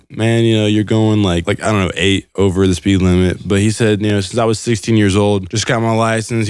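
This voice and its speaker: A man's voice: lowish voice, clear, resonant tone, dry recording. A young man telling casual, comical stories in an informal, slangy, meandering way. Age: young adult. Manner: informal, meandering, slangy, quotes dialogue in retellings. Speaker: podcast storyteller.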